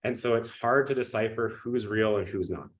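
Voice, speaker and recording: A man with a cold, sniffling and coughing, giving an energetic live webinar pitch and often checking in with his audience. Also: moderate pace. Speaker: webinar presenter.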